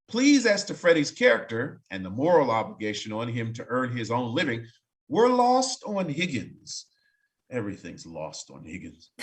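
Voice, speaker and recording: A man's voice: on the high side, fairly bright tone. A man narrating in a staged reading, reading aloud expressively and breaking off for wry, casual asides.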